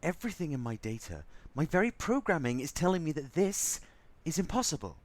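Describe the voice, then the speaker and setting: A man's voice: a little high, sing-song, brisk, no room sound. A man playing a sassy, theatrical, frazzled robot crew member in an audio drama, with a sardonic, stubborn manner.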